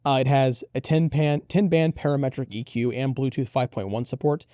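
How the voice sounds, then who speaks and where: A man's voice: medium pitch, steady tone, fairly quick, dark tone, dry recording, clean studio sound. A man co-hosting an audio gear preview, giving a casual rundown of products.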